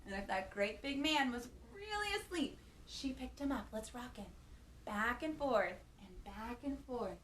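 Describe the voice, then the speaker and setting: A woman hosting children's storytime, reading a picture book in an animated, playful way and chatting to young listeners with questions and exclamations. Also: a little high, unhurried, recorded from a distance, a little hiss or hum.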